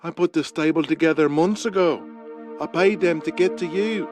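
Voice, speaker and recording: A man's voice: on the high side, moderate pace, over music. A man acting dramatically in a scripted audio drama.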